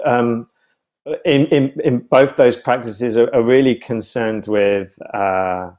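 A man in his thirties giving thoughtful interview answers on a video call, searching for words and often restarting his phrases. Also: fairly low pitch. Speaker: guest, writer and theatre artist. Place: home room with bookshelves, indoors. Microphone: video call, wired earphones.

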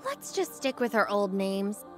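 A woman's voice: medium pitch, sing-song, measured pace, soft background music. A woman playing an earnest, confident young princess in a video game, with a direct, spirited manner. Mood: confident, friendly. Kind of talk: video game cutscene dubbing.

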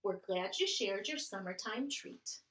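A woman's voice: medium pitch, expressive, a little slow, a bit bright, reverberant, far from the mic, voice pushed down, poor audio. A woman voicing a grateful, friendly animal friend in a picture book, speaking in a sweet, rhyming way. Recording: voice a bit off-mic.

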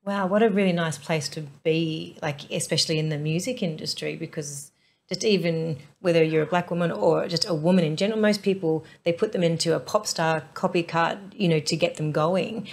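A woman in her forties hosting an interview, effusive and gushing, with lots of warm affirmations. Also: lowish voice, a little fast, vocal fry. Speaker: host. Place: bright studio set, indoors.

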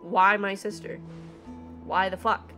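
A woman streamer reacting live to a game, excitable and dramatic, exclaiming casually with plenty of swearing. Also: medium pitch, slow, over music.

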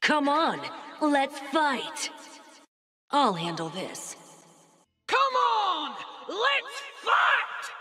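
High, fiery game fighter. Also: female voice, very animated, extremely slow, pauses often, over a noisy crowd, very noisy, heavily acted. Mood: fired up. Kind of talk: video game battle shouts.

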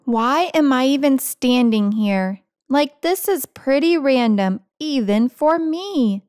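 Woman as a dramatic grumpy cat, storybook read-aloud, slow-paced, dry close sound, sarcastic complaints. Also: high voice, lively intonation, darkish tone, no room sound. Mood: bored, mopey. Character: grumpy, dramatic, self-pitying pampered house cat. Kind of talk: picture-book read-aloud.

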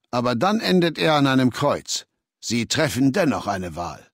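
An actor playing an imperious, menacing warlord ruler in a video game, speaking in a commanding, condescending, deliberate manner. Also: male voice, medium pitch, breathy edge, no echo, studio quality. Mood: impatient, cold. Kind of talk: video game dialogue in German dub.

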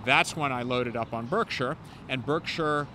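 A man in his fifties gives a street interview about investing, talking into a handheld mic. He sounds fluent and sure of himself, with frequent "um"s, and his voice sits in a middle register. Traffic noise from the city street comes through clearly. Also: mid-range voice, unhurried, pushed and bright, poor audio. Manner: fluent and confident, many "um" fillers. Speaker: investment newsletter founder, interview guest. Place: city sidewalk outdoors, traffic passing.

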